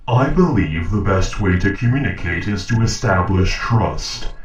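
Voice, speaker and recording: A man performs a logical, courteous humanoid robot from a sci-fi audio roleplay. The voice is low and very unhurried, with formal, precise diction. It sits back from the microphone, questioning a captive with measured care. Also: expressive, highs cut off. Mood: conciliatory. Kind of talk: scripted audio roleplay monologue. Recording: roomy echo, distant from mic.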